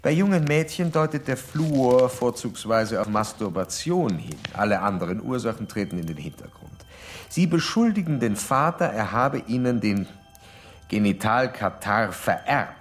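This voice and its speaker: An actor playing a self-assured, insistent psychoanalyst in a German radio drama, questioning with pedantic, learned formality. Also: male voice, medium pitch, lively intonation, normal speed, lightly acted. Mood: accusing, triumphant. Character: probing, self-assured male doctor psychoanalysing a young woman.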